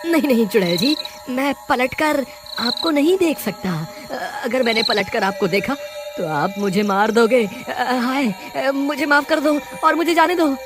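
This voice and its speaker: A man's voice: very high voice, unhurried. A performer voicing a fearful, poor male woodcutter in a cartoon, stammering and nervous. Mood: terrified. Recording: music behind the voice.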